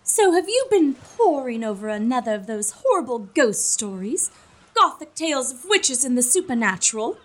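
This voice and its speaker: A woman playing a lovestruck, gently chiding young maiden in a gothic comedy audio drama, with theatrical old-fashioned diction. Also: high-pitched, very animated, moderate pace. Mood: scolding.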